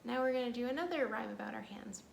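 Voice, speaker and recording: A woman's voice: a little high, quick pace, vocal fry. A woman leading a children's storytime as a librarian, playfully reciting rhymes and action songs in a sing-song way, talking directly to young kids. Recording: echoey room with faint background noise.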